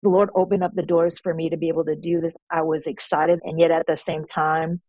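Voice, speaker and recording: A woman reflecting slowly and softly in a podcast interview about contributing to a book. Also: sits low, little pitch movement, no echo, low-quality recording.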